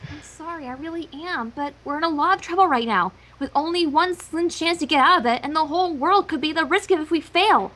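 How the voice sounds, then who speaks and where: A woman's voice: high-pitched, a little fast, few pauses, fairly bright tone, pitched below the performer's own voice. A woman voicing an earnest, easily alarmed cartoon pony heroine in a fan fiction reading.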